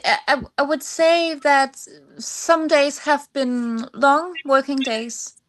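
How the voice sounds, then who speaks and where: High-voiced Danish woman, interview guest. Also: a bit bright. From Denmark. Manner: halting, searching for words in non-native English. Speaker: singer-songwriter, podcast guest. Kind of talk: conversational interview answers.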